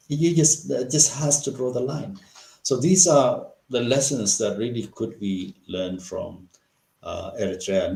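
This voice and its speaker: A man's voice: on the low side, a little mellow. A middle-aged man from Ethiopia, an interview guest giving impassioned political commentary, asking rhetorical questions and restarting his sentences often. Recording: roomy echo.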